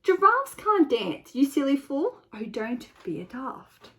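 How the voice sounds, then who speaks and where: A woman voicing brash, excitable jungle animals in a storybook, in a loud, exclaiming manner. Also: sits high, slow pace, exaggerated voice. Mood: mocking.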